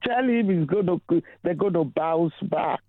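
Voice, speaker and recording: Man phoning into a radio show, earnest and preachy, slow emphatic talk, thin phone-line sound. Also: sits high, expressive, no echo. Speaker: radio caller. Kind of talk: phone-in call. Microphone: telephone.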